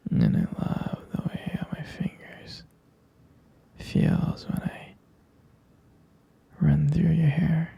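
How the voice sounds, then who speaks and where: Male actor as adoring boyfriend, ASMR roleplay, very dark mellow tone, breathy husky whisper, gentle, intimate. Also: fairly high pitch, wildly swooping pitch, dull audio, heavily acted. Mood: tender, sincere. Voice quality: soft, breathy whisper with gravelly creak. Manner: slow, intimate, hesitant, gentle. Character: tender, adoring, smitten boyfriend whispering sweet compliments.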